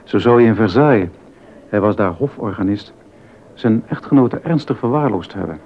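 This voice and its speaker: An actor playing a baroque musician's worldly, sympathetic and gossipy confidant in a period docudrama, measured and dryly amused. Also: male voice, on the low side, animated. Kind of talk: Dutch docudrama dialogue. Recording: dull sound with background noise.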